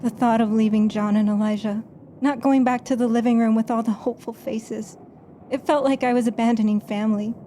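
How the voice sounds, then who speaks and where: A woman's voice: a little high, a little hiss or hum, close to an everyday voice. A woman playing an embittered, defiant stage magician in an audio drama, narrating her own story with dramatic intensity. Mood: wistful. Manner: dramatic, swings from hushed narration to angry outbursts. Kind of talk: audio drama acting with first-person narration.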